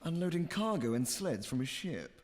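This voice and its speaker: A man's voice: on the high side, big pitch swings, moderate pace. An actor with an upper-class English accent playing a timid, deferential officer who hesitates as he speaks.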